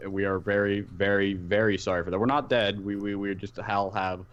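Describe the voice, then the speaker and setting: A man's voice: fairly low pitch, level tone, normal speed, dull audio, heavy background noise. A man speaking as a podcast guest in casual chat, hesitant and rambling, often self-deprecating. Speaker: podcast guest, web series co-creator and voice actor.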